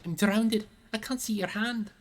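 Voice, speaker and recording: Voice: medium pitch, measured pace, pitched above the performer's own voice, natural voice. A reader voicing a loyal, anxious young male hobbit servant in a storybook, with rustic, pleading, earnest speech. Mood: panicked, pleading.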